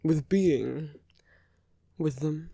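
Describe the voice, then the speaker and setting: A man playing a teasing yet tender villain in an intimate ASMR roleplay, speaking in hushed, unhurried phrases with creaky dips toward a whisper. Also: fairly high pitch, dry recording, clean studio sound, exaggerated voice. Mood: gentle, careful. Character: smooth teasing male villain, tender toward his enemy.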